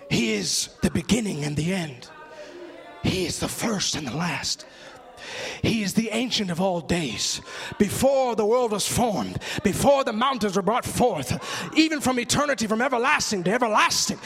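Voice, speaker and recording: A man preaching an impassioned sermon with a gravelly voice, fervent and emotional, in a rhythmic, repetitive, declamatory cadence. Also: sits high, big pitch swings, normal speed, pushed and bright, faint music behind. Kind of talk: impassioned sermon listing the names of Christ. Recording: long reverb of a large hall.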